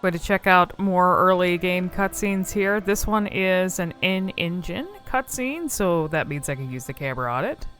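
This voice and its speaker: A woman's voice: on the low side, expressive, normal speed, few pauses, fairly bright tone, faint music behind. A woman giving casual, off-the-cuff commentary on a video game in a rambling, informal, amused manner.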